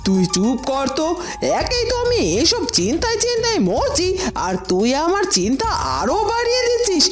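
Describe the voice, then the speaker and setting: A woman voicing an irritable, scheming middle-aged village stepmother in a cartoon, with a scolding, sharp-tongued manner. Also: very high-pitched, huge pitch swings, moderate pace, loud music behind the voice, exaggerated voice. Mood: irritated, scheming. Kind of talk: Bengali cartoon dubbing.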